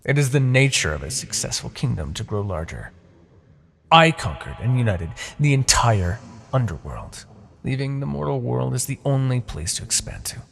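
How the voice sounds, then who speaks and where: A man playing a proud, vengeful demon king in a fantasy roleplay, speaking with a gravelly, husky voice and a theatrical, deliberate, imperious manner. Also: mid-range voice, big pitch swings, heavily acted. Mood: imperious, persuasive. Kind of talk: fantasy ASMR roleplay monologue.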